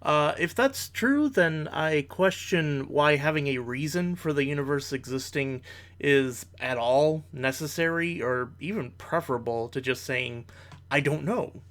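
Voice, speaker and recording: Measured man giving analytical commentary. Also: on the high side, a bit bright. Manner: measured, analytical, formal wording. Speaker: reaction video commentator. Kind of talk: reaction commentary, argumentative.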